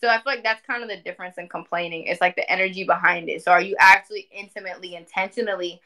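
Young woman chatting on a livestream, really bright forceful voice, says stuff twice to drive it home. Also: fairly low pitch, very fast. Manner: animated, emphatic, repeats phrases for emphasis. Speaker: spiritual community speaker. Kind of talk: casual livestream talk. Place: indoor room at home.

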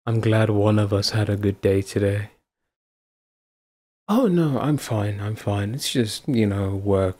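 A man playing a devoted, affectionate husband in an intimate audio roleplay, with a husky voice. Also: fairly low pitch, lively intonation, unhurried, warm tone. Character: devoted, affectionate husband, playful then anxiously caring. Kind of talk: intimate ASMR boyfriend-style roleplay acting.

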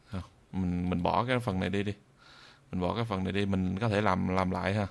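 A man giving an unscripted software tutorial in a hesitant, halting way, often repeating phrases. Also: sits low, level tone, creaky. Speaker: video tutorial presenter.

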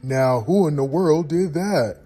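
A woman's voice: low voice, lively intonation, unhurried, warm tone, husky, pitched below the performer's own voice, exaggerated voice. A woman voicing a stern, suspicious male night watchman in a storybook. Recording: music behind the voice.

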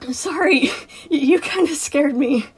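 A woman's voice: high voice, lively intonation, moderate pace, voice pushed up. A woman voicing an anxious, forcedly cheerful male robot daycare attendant in a story, flustered and given to repeating words. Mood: nervous apologetic. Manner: flustered, repeats words, scolding and reassuring by turns.

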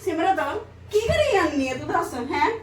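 A woman plays a gentle, patient sister-in-law and mother who coaxes and soothes in a high voice. She talks from a little way off the mic. The room rings with echo. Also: sing-song, moderate pace, recorded from a distance, noisy background. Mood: coaxing. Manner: gentle, placating, reasoning. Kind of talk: family drama skit.

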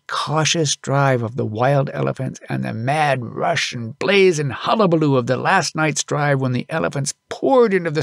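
Expressive, gravelly male storyteller. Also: medium pitch, no echo, studio quality. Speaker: audiobook narrator.

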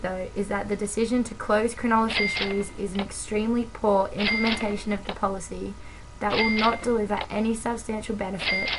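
An Australian woman narrating a scripted advocacy piece in a measured, formal, earnest way. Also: medium pitch, background noise. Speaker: campaign video narrator.

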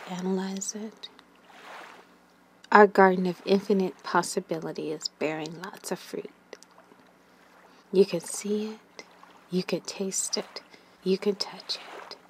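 A woman leading a guided meditation in a gentle, soothing manner, with long pauses and breathy phrase endings that trail away. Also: mid-range voice.

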